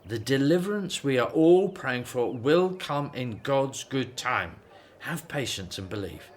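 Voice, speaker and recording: A man's voice: medium pitch, animated, unhurried, close to an everyday voice. An actor in a radio drama playing a weary, aging Hebrew slave father who is patient, earnest and devout.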